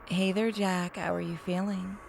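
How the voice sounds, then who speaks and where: A woman's voice: on the low side, little pitch movement, normal speed, gravelly, background noise. A woman playing a breezy, evasive doctor who falsely reassures a captive patient in a horror game.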